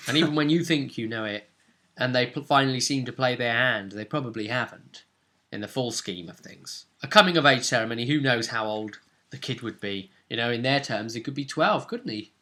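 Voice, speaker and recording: A man with a bright, forward voice talks as a game master recapping a session. He is chatty and rambling, often tagging questions onto his sentences to get agreement. His pitch sits in a middle male range. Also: fairly quick. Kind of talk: casual recap of a tabletop role-play session.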